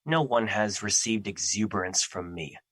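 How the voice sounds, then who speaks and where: A personified emotion in an audio drama, acted by a man, with a lowish, bright voice. He is humble and full of self-doubt, speaking in formal, solemn phrases. The recording is close and dry. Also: moderate pace, no room sound. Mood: doubtful. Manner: formal, solemn, earnest diction. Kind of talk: theatrical audio drama acting.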